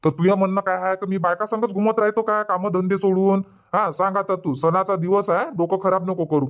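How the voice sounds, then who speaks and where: A man's voice: high-pitched, fairly even intonation, brisk, natural voice. A man voicing an irritable, argumentative village husband in a comedy cartoon, grumbling in a Varhadi Marathi accent. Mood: irritated. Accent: Varhadi Marathi (Vidarbha).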